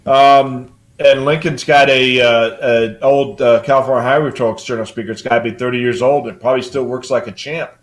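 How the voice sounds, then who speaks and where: A man's voice: mid-range voice, fairly even intonation, a little fast, poor audio. An older man hosting a casual live hobby show, explaining things in a rambling, matter-of-fact way.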